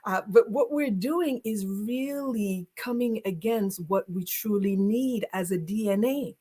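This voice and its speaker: A woman's voice: mid-range voice, unhurried, mellow, husky. A woman guest in a faith-based talk show, encouraging and laughing often as she speaks in affirmations. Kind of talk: casual faith-based conversation.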